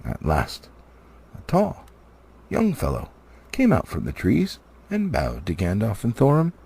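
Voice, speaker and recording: A man reading a fantasy storybook aloud in an animated, expressive way, stumbling now and then. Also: lowish voice, unhurried, gravelly, slightly rough. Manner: animated, slightly stumbling cold read. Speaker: amateur audiobook reader.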